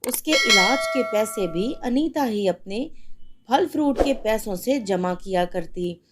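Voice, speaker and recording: A woman's voice: mid-range voice, lively intonation, takes it slowly, heavy background noise. A woman narrating a scripted children's fairy tale as the storyteller.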